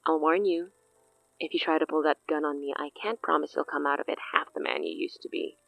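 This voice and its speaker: An actress playing a poised, teasing society hostess with veiled menace in an audio drama. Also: female voice, deep voice, big pitch swings, brisk, gravelly, no echo, highs cut off, heavily acted. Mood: veiled threat. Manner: arch, theatrical, veiled menace.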